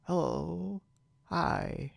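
Man playing a drunk young man, heavy gravelly fry, very slow halting delivery, stubborn and muddled, ASMR roleplay. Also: on the high side, expressive, dry recording, heavily acted. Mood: confused, woozy. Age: young adult. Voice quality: gravelly, creaky. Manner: halting, rambling, mumbled drunk speech with repeated words. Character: tipsy, stubborn, boastful, confused young man.

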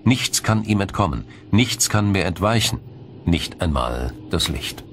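Deep-voiced male documentary narrator. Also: big pitch swings, unhurried, a bit bright, a little hiss or hum. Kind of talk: scripted science documentary narration. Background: music.

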